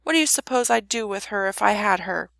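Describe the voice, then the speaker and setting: A woman voicing a huge old male dragon, growling and rumbling, with humble, folksy turns of phrase. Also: animated, fairly quick, creaky, fairly bright tone, dry recording, clean studio sound. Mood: grumpy, defiant.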